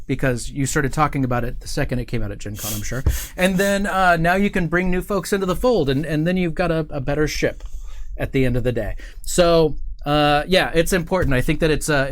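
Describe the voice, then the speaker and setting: A man's voice: a little high, slight background noise. A middle-aged man hosting a casual studio livestream, joking and rambling with lots of fillers. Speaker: host. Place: studio set with couch and table.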